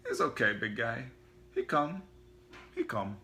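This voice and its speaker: A man's voice: a little high, a little fast, gravelly, a little hiss or hum, voice pushed up. A man voicing a jovial, rotund male utility worker with broken English and a nervous, quavering, obliging manner. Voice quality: broken English, cartoonish plumber-style accent.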